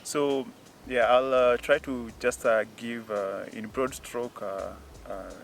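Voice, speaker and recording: A man explaining a technical process outdoors in a hesitant, measured way, with many fillers. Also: medium pitch, steady tone, creaky, a little hiss or hum. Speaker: guest expert. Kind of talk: casual explanatory interview. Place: outdoors, windy.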